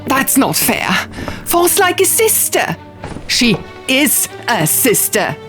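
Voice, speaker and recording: A woman's voice: high voice, big pitch swings, slow pace, a bit bright, soft background music, pitched above the performer's own voice, full character voice. A woman voicing quarrelling young female diviners in an audiobook, indignant and urgent, arguing heatedly. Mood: defensive, heated. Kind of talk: audiobook character dialogue.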